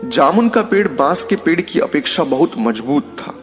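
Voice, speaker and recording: A man's voice: a little high, big pitch swings, normal speed, big-room sound, soft background music. A man narrating a scripted moral story as a storyteller.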